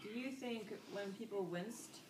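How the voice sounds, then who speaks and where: A woman's voice: mid-range voice, unhurried. A woman teacher speaks in a roomy classroom, some distance from the microphone. She instructs young children, repeating key words and putting questions to them. Faint noise sits behind her voice. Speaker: elementary school teacher. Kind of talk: interactive classroom vocabulary lesson for young children.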